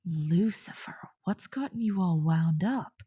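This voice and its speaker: A woman's voice: fairly low pitch, animated, a little slow, dark tone, slightly rough, dry recording, clean studio sound. A woman playing a snarky tsundere young succubus who secretly cares, teasing and exasperated in an ASMR roleplay. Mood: grudging concern. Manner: teasing, exasperated, sighing, grudgingly soothing.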